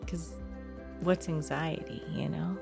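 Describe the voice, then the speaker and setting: A woman co-hosting a podcast, gently guiding and asking curious questions in casual chat. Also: low voice, steady tone, takes it slowly, vocal fry, over music. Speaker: podcast co-host, coach.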